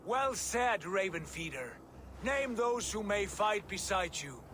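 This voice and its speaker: Tyr, resolute loyal war god. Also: male voice, high voice, animated, takes it slowly, bright, forceful tone, a little hiss or hum, heavily acted. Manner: earnest, resolute. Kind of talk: video game cutscene acting.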